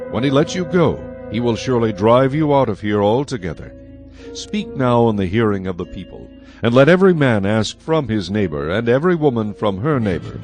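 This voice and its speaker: A man narrating a dramatized audio Bible in a measured, solemn storytelling style. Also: on the low side. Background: music.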